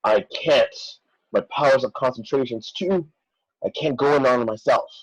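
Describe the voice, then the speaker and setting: A man's voice: mid-range voice, normal speed, natural voice, low-quality recording. A man playing a weary, doubting superhero in a script table read. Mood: strained doubt.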